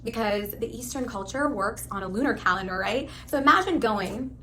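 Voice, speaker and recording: A woman in her thirties, originally from Pakistan, giving a spontaneous, rambling, animated self-introduction. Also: a little high, normal speed, a little hiss or hum. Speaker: live stream host applicant.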